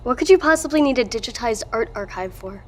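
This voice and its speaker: A sardonic skeptical woman, fairly high voice, blunt and pushy, audio drama dialogue. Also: animated, quick pace. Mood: suspicious, curious. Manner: blunt, wry. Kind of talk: audio drama acting, conversational.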